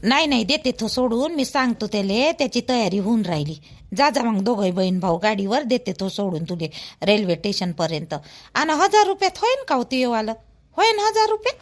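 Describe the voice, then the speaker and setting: A woman's voice: a little high, big pitch swings, fairly quick. A woman voicing a chatty, nosy but helpful older village housewife in a cartoon, speaking colloquial Vidarbha Marathi full of exclamations. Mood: helpful, coaxing. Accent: Varhadi Marathi, Vidarbha. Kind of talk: rural family cartoon dialogue.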